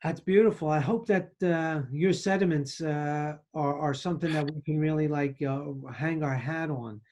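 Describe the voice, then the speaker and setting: A middle-aged man hosting an interview over a video call, asking questions in a hesitant, halting way. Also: fairly high pitch, a little mellow. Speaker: podcast host, surgeon.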